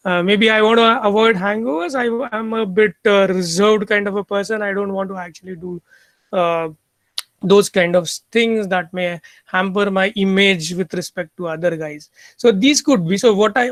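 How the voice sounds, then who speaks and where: A man's voice: high-pitched, moderate pace, no room sound. A middle-aged man with an Indian English accent giving an online lecture, explaining step by step and often restarting his phrases. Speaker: university lecturer. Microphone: computer mic on video call.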